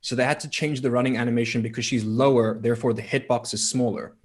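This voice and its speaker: A man's voice: mid-range voice, steady tone, fast. A man co-hosting a gaming podcast who explains things eagerly and gives friendly tips. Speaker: podcast co-host. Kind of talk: casual gaming podcast chat.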